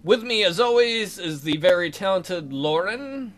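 Animated, high-voiced man with a bright sound. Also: measured pace. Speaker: let's play host. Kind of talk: casual game commentary with joking asides.

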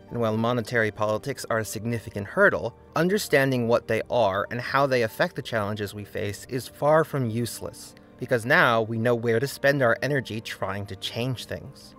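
A man's voice: mid-range voice, fairly bright tone. A man narrating his own scripted video essay, earnest and argumentative, over faint background music.

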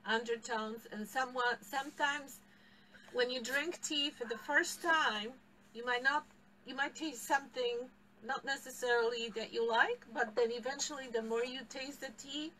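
A woman's voice: sits high, moderate pace, bright, forceful tone, distant mic, slight background noise, low-quality recording. A middle-aged woman with a Polish accent teaching a live tea tasting class in a tea shop, picked up by a camera across the table, explaining and laughing often. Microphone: far camera across table.

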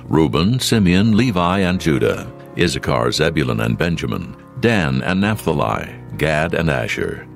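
A man narrating scripture in a solemn, measured, formal manner from a script. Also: rumbling low, very animated, creaky, faint music behind. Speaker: Bible narrator.

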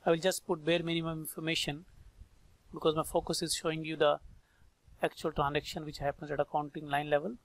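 A man with an Indian accent narrating a step-by-step software tutorial, thinking aloud informally in short phrases. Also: fairly high pitch, flat delivery, fairly quick. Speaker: software trainer. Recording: background noise with mouse clicks. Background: noise and clicking.

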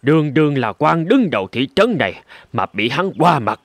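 A man's voice: a little high, very animated, moderate pace, gravelly, dry recording, exaggerated voice. A man playing a spiteful, scheming town official in a cartoon folk tale. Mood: resentful.

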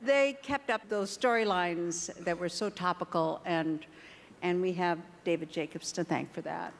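A woman's voice: fairly low pitch, measured pace, gravelly, a little hiss or hum. A woman giving an earnest, grateful speech at an awards show. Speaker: actress at a reunion. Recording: stage mic in a big hall.

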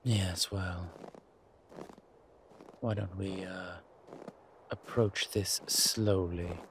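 A man playing a haughty, wry vampire gentleman in a roleplay audio drama, speaking formally and with refinement. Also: deep voice, steady tone, background noise, heavily acted. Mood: wry, cautious. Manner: refined, formal, wry phrasing with hesitant pauses.

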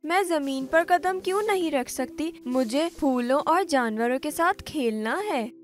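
A woman voicing a sweet, wistful, sheltered teenage girl with a soft pleading manner in a children's fairy tale. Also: sits high, expressive, a little slow.

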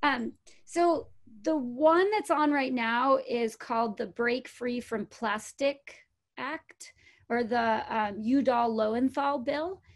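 A woman in her forties talks as a panelist on a video call. Her voice sits fairly high, and she explains things in an informal way. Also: slow pace.

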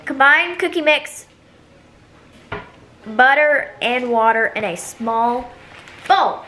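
A woman's voice: high-pitched, lively intonation, slow pace, sharp tone, a little hiss or hum. A young teenage girl with an American accent, chatting informally in a casual home cooking vlog. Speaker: vlogger. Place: home kitchen, indoors. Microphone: camera at arm's length.

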